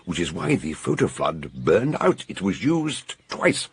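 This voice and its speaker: An actor playing a jovial, commanding older detective in a British radio mystery, with a British accent, a gruff voice and a theatrical, expansive way of explaining. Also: male voice, low-pitched, big pitch swings, unhurried, exaggerated voice. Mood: genial, explaining. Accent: British English.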